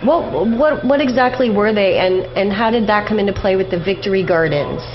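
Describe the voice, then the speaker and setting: A woman's voice: medium pitch, brisk, highs cut off. A woman hosting a casual radio interview, putting questions in an informal, slightly hesitant way.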